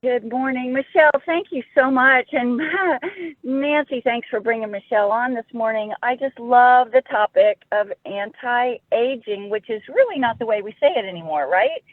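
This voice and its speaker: A woman calling in to a live webinar, chatting warmly and laughing often. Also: fairly high pitch, normal speed, no room sound. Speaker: caller. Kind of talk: casual call-in chat. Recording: dry tinny phone line.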